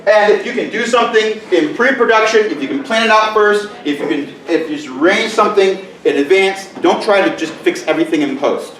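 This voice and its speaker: A middle-aged male teacher giving a conference presentation in a loud, booming voice with joking asides, recorded from far off in a large room. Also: fairly quick, hardly any pauses, roomy sound. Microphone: camera mic from far off.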